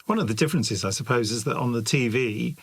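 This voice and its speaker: A man with a British accent and a gravelly, husky voice, putting questions in a conversational interview. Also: mid-range voice, fast talker, dry recording.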